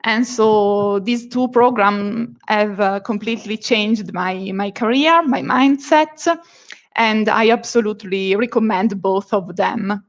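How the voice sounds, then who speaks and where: A woman's voice: medium pitch, slow, dull audio. An Italian woman with an Italian accent, a freelance translator guest on a video call, answering hesitantly with many fillers and giggles. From Italy. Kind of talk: casual interview answers.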